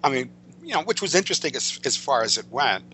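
An older American man speaking as an interview guest, reminiscing in a discursive, halting way and often correcting himself. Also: mid-range voice, big pitch swings, pushed and bright, slight background noise. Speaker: podcast guest, veteran writer.

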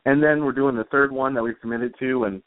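A man's voice: mid-range voice, fairly even intonation, fairly quick, no room sound. A man chatting informally and a little ramblingly as an interview guest, over a tinny line. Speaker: interview guest, comic author and musician. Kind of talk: casual conversational interview.

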